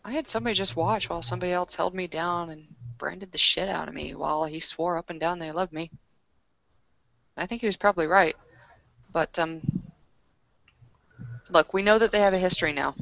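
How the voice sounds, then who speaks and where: A woman playing a tabletop roleplaying game in character, casual, blunt and skeptical, with halting phrasing. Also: lowish voice, gravelly, fairly bright tone, no room sound. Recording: dry but dull, lo-fi microphone.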